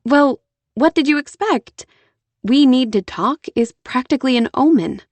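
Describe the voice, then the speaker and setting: A woman's voice: on the high side, fairly even intonation, normal speed, a little mellow, dry recording, voice pushed up. A woman voicing a prickly, anxious young woman. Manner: defensive and jumpy.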